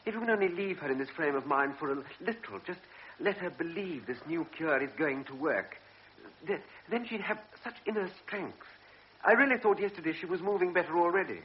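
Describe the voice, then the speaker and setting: A man's voice: high voice, lively intonation, brisk, slight background noise. An actor playing an earnest young army lieutenant who pleads his case in a radio drama. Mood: pleading. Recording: dull old radio recording.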